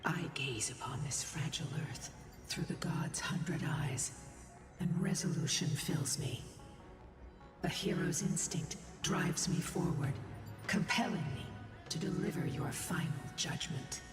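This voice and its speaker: A performer voicing a solemn otherworldly divine being in a game cutscene, with breathy, portentous recitation. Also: mid-range voice, expressive, unhurried, whispered, natural voice. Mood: resolute, ominous. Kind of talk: scripted game cutscene narration. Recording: cavernous distant echo, music behind.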